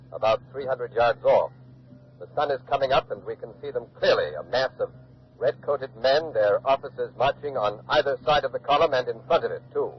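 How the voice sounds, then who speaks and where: A man's voice: medium pitch, natural voice. A man acting an earnest on-the-scene reporter in a 1940s radio docudrama, describing events in an articulate eyewitness style. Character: earnest male radio field reporter at a colonial standoff. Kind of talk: 1940s radio docudrama live news commentary. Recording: dull old radio recording with hiss.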